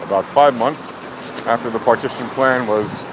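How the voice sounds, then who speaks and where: A man giving opinionated, off-the-cuff political commentary in a deliberate, emphatic, argumentative manner. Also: medium pitch. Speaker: speaker of a political monologue. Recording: loud outdoor traffic or engine noise.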